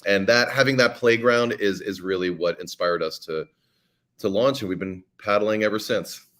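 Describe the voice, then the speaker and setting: A man's voice: on the low side, moderate pace, a bit bright. A man, a podcast guest, talking in a casual interview with many fillers and restarts.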